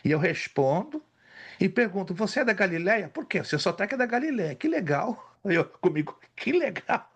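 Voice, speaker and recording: Animated man telling stories in class. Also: high-pitched, normal speed, breathy edge. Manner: storytelling, animated, lists facts. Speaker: theology teacher. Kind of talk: casual class conversation.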